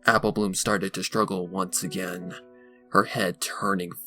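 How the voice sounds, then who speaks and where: A man narrating a dark story from a script in a dramatic style. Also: sits low, little pitch movement, unhurried, vocal fry. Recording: music behind the voice.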